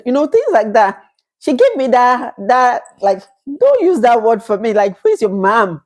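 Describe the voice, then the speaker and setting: A woman's voice: a little high, sing-song, moderate pace, a little mellow. A woman in her thirties from Nigeria with a Nigerian accent, talking casually to camera, chatty, laughing often and acting out little quoted exchanges. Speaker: vlogger.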